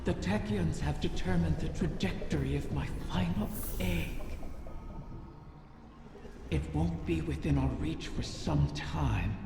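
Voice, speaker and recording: An actress playing an ancient, cunning, imperious female dragon in a game, with a gravelly voice and a weighty, riddling manner. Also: sits low, measured pace, over music. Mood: dry, measured. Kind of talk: dramatic game creature acting. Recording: cavernous long reverb, loud ambient noise behind.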